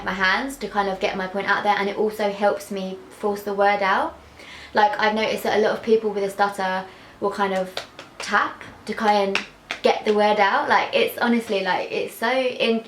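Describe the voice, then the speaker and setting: A young woman speaks candidly to camera, her words often snagging on a repeated sound before they come out. She fills her talk with easy "like"s, her voice neither high nor low. The bedroom around her gives a clear echo. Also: a little hiss or hum. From England. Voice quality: stutter with sound and word repetitions. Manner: open, heartfelt, many fillers. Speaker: vlogger. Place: small bedroom indoors. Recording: echoey bedroom.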